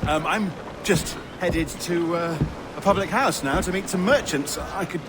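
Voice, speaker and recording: A genteel British merchant, with a highish voice and fussy, easily flustered manners. He is a timid but jolly fellow from a video game, heard over loud outdoor street noise. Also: measured pace. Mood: polite, chatty. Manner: fussy, polite, flustered, hesitant. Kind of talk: video game character acting.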